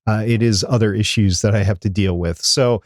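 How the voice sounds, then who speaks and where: A man's voice: on the low side, steady tone, a little fast, dry recording, clean studio sound. A man chatting casually as co-host of a live podcast.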